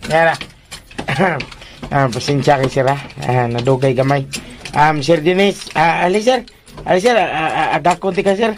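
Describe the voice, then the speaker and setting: Actor as high-voiced bumbling servile helper. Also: male voice, moderate pace, a little hiss or hum, lightly acted. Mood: flustered, apologetic. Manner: flustered stammering, throat-clearing, over-apologetic. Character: bumbling, servile, nervous male household helper. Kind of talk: radio drama acting.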